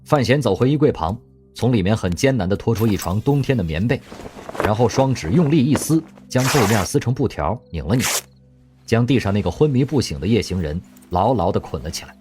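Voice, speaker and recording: A man narrating an audio drama with expressive storytelling. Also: on the low side, fairly quick. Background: faint music.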